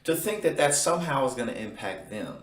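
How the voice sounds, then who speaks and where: A man hosting online political commentary, talking in an animated, indignant way. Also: medium pitch, a little fast, roomy sound, recorded from a distance.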